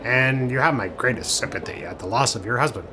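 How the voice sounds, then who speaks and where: A man's voice: mid-range voice, lively intonation, a little fast, background noise, lightly acted. A man playing a courteous, deferential bank clerk in an old-time radio drama, with a fussy, polite manner.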